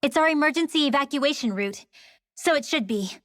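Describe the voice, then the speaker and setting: An actress playing an earnest, determined young rebel guide in a video game. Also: female voice, high-pitched, expressive, fairly quick, fairly bright tone.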